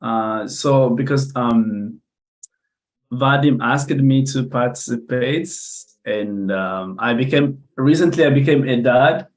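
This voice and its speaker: Young Ethiopian-born male academic on a video call, slow and halting, full of fillers and restarts. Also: medium pitch. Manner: hesitant, many fillers and restarts. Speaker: conference speaker. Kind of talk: academic presentation.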